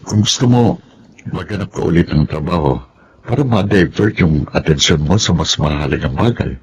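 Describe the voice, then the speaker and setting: A man's voice: sits low, animated, moderate pace, background noise. An actor playing Egay, a young man home from America, speaking in an earnest, persuasive way. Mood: caring. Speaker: Egay, friend of Abi. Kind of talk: Tagalog drama acting. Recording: dull, muffled sound.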